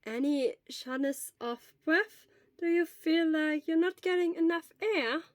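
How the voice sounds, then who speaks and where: A woman voicing a kindly, brisk elderly school nurse who speaks in a slow, deliberate way. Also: extremely high pitch, dry recording, clean studio sound, voice pushed up. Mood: calm, questioning.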